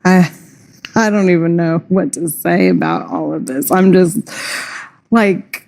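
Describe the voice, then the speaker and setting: A woman's voice: lowish voice, lively intonation, unhurried, a little mellow. A woman as a talk show guest, speaking in a frustrated, emphatic way. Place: tv studio.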